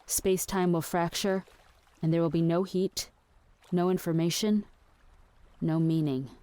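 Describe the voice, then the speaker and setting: A woman's voice: fairly low pitch, steady tone, unhurried, dark tone, smooth, no room sound, natural voice. An actress playing a dreamy, fatalistic woman in an audio play, with a wistful and understated way of talking. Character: melancholy, dreamy, fatalistic woman on a ship at night. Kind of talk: theatrical audio play acting.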